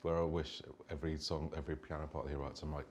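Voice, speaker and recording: A man's voice: a bass voice, flat delivery, fast talker, slight background noise. A man from a band answering fan questions on stage with dry jokes. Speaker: band member. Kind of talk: live Q&A chat. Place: concert venue stage.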